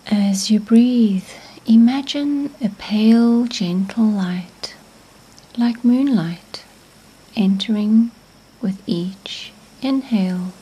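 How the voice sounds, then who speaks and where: A woman narrating a guided meditation in a gentle, soothing, hushed manner, with phrase endings fading toward a whisper. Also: mid-range voice, slow, husky, rough recording.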